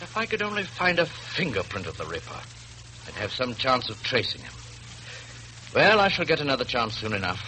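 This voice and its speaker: An actor playing a courteous, businesslike Scotland Yard inspector in a 1940s radio drama, formal and crisp. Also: male voice, medium pitch, very animated, a little fast, sharp tone. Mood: earnest. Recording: noisy 1940s radio recording.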